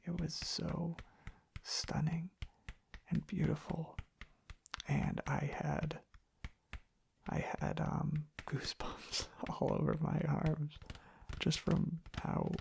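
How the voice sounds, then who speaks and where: A young man rambles in a soft ASMR style, very slowly and with heavy vocal fry. He often slips toward a whisper and halts mid-sentence. He is close to the microphone, with soft tapping and clicking behind him. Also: fairly high pitch, expressive. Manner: hushed, halting, pausing mid-sentence. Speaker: ASMR content creator.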